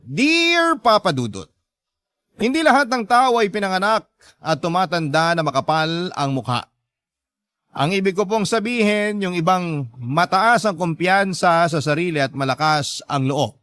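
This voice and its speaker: A Filipino male radio host reads a dramatic story in a measured way. His voice sits somewhat high for a man, and the sound is dry and close. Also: animated, pushed and bright, no room sound. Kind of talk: scripted dramatic narration of listener's letter.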